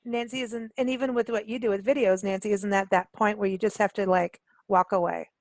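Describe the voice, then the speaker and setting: A woman's voice: mid-range voice, fairly even intonation, a little fast, no room sound. A woman hosting a radio show in a casual interview style, asking informal, rambling questions.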